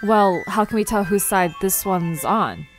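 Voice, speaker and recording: A woman's voice: mid-range voice, unhurried, natural voice. A woman playing an anxious, impatient but practical young adventurer in naturalistic audio drama, hesitant and exasperated. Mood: wary, exasperated. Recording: music under the voice.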